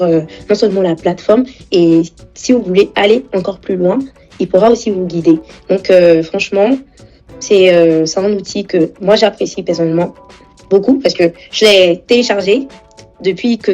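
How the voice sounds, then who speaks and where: A woman hosting a casual interview, asking questions in a lively, encouraging way and speaking directly to viewers. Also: medium pitch, highs cut off. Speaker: interview host.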